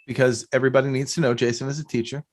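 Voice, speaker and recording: A man's voice: medium pitch, little pitch movement, racing, a little mellow. A man hosting a podcast in casual chat, joking and rambling. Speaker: podcast host.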